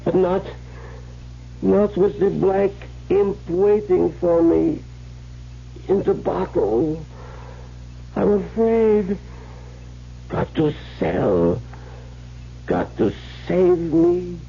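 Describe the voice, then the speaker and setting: An actor playing a wealthy, commanding occult enthusiast in a theatrical, formal 1970s radio drama style. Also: male voice, sits high, expressive, creaky, a little hiss or hum, heavily acted. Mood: dying, frightened. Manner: theatrical, formal, deliberate phrasing. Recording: dull old radio broadcast with music.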